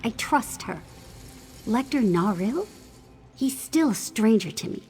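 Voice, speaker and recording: Woman as an earnest, high-voiced young apprentice. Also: expressive, unhurried, a little mellow, faint music behind. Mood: wary, pleading. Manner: earnest, questioning. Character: earnest, suspicious young female apprentice, loyal to friends. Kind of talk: video game character dialogue.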